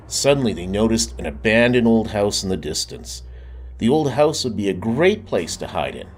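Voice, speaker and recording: A man narrating a children's storybook aloud, addressing young listeners. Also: lowish voice. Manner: asks the young listener questions.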